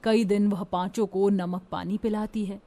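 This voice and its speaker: A woman's voice: mid-range voice, fairly even intonation, moderate pace, warm tone. A woman narrating a scripted dramatic story as a storyteller.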